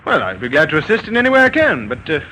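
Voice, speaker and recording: A courteous British army major in a vintage radio drama. His voice is high and bright, with lively swings of pitch, and he is eager to help. Light noise sits on the recording. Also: fast talker, full character voice, poor audio. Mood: obliging. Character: genial, obliging British army major, commanding officer. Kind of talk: old-time radio drama acting.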